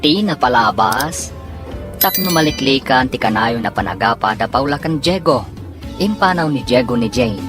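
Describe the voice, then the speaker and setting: A woman announcer giving dramatic scripted narration for a radio drama serial over music. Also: rumbling low. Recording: music bed under the voice. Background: dramatic theme music.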